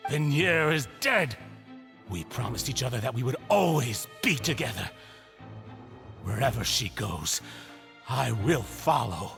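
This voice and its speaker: Desperate villain, wildly swinging gravelly voice. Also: male voice, medium pitch, big pitch swings, measured pace, long pauses, a bit bright, heavily acted. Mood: bitter despair. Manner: theatrical, unhinged, anguished delivery. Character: desperate grief-maddened male villain obsessed with lost lover. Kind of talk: dramatic video game voice acting. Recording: music under the voice.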